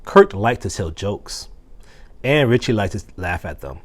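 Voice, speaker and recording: A man reads a children's storybook aloud at a slow, careful pace. His voice swings up and down in an expressive way, with deliberate phrasing, and sits a little on the low side. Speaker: assistant principal reading aloud.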